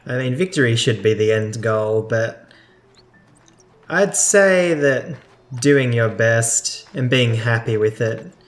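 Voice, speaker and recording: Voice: medium pitch, animated, takes it slowly. A let's play host giving casual, conversational commentary while playing a video game. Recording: game music behind the voice.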